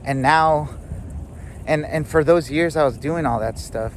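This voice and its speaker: A man's voice: on the high side, unhurried, slight background noise. A man in his thirties talking casually to the camera about growing up, rambling with lots of fillers. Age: 30s. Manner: rambling, filler-heavy, reflective. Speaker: vlogger. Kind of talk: casual self-help monologue.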